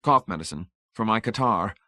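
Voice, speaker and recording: Storybook role: man as a clipped, brooding middle-aged man, low-voiced. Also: lively intonation, normal speed, pushed and bright, dry recording, clean studio sound. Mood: terse. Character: curt, tense middle-aged man set on a plan.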